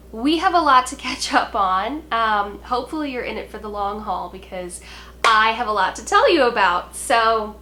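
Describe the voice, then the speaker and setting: A young woman with a bright, fairly high voice, sounding clearly stuffed up and nasal from a cold. She chats casually to her viewers as a podcast host, rambling and restarting with plenty of ums. A faint hum sits under the recording. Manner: chatty and apologetic, with frequent ums and restarts. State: congested and nasal from a sinus cold. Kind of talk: casual chat to camera.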